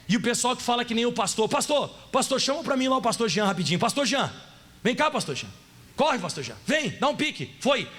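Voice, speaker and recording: Gaúcho-accented male pastor, high and very expressive, playful preacher who talks to the crowd. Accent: Gaúcho Brazilian Portuguese. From Rio Grande do Sul, Brazil. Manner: animated, playful, acts out voices and asks the audience questions. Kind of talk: sermon.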